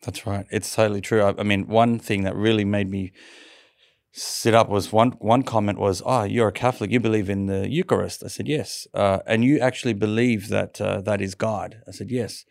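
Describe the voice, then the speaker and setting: A man's voice: fairly low pitch, fairly even intonation, normal speed, vocal fry, no room sound, studio quality. A middle-aged man from Sydney with an Australian accent, telling his own story conversationally as an interview guest.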